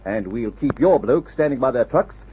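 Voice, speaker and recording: An actor playing a brisk, confident male flying adventurer who leads his team, in the style of a mid-century radio adventure serial. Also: mid-range voice, expressive, a little hiss or hum, close to an everyday voice. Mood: brisk, assured. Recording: muffled, dull old radio serial recording.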